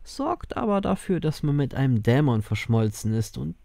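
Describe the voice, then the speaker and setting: A man's voice: medium pitch, very animated, normal speed, vocal fry, warm tone, dry recording. A man playing a grim, world-weary ferryman reaper, narrating a horror audio drama tersely and wryly.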